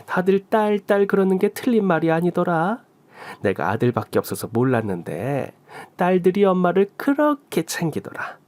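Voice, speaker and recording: Guy doing an older korean mother-in-law, fond scolding, swoopy pitch, dry close sound, radio story. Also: wildly swooping pitch, moderate pace, a little mellow, dry recording. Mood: playful, doting. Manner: scolding fondly, colloquial. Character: affectionate, teasing elderly mother-in-law, bossy but doting.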